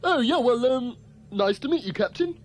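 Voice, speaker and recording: A man's voice: very high voice, expressive, normal speed. A man voicing a bumbling, dim, rambling contest compere in a comic puppet sci-fi show, full of hesitations. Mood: befuddled. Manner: hesitant, rambling, filler-laden.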